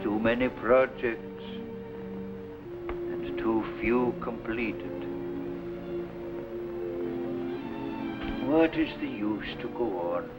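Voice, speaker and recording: A man playing a despondent great inventor-artist in halting, theatrical 1950s drama style. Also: medium pitch, loud music behind the voice, natural voice, poor audio. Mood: despairing. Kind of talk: 1950s television drama acting.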